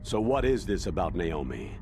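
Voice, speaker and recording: An actor playing an older military colonel in a video game, guarded, evasive and hesitant. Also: male voice, low-pitched, big pitch swings, moderate pace, over music. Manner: hesitant, defensive. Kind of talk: dramatic video game voice acting.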